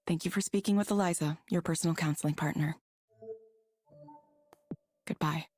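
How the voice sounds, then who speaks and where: A woman voicing a calm, polite AI counselling program in a video game, with measured, scripted delivery. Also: low-pitched, soft background music, natural voice. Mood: calm, procedural. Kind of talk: scripted virtual assistant in a game.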